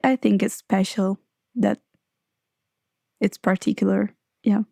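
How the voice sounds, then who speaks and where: A young woman in her twenties whose first language is Italian, speaking as a guest poet in a measured, earnest way. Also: mid-range voice, steady tone, gravelly, dark tone, dry recording, clean studio sound. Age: 20s.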